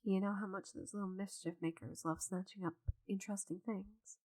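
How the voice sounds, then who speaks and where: A woman playing a friendly, chatty, slightly scatterbrained forest witch in an ASMR roleplay audio drama, with a gentle, theatrical manner. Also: medium pitch, fairly even intonation, a little fast, no room sound, natural voice. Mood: nervous feigned innocence.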